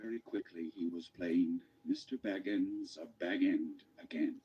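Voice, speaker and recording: A man's voice: deep voice, measured pace, dull audio, slight background noise. An actor playing a storybook narrator in a fantasy radio play, theatrical and savouring his phrases. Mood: evocative, dramatic.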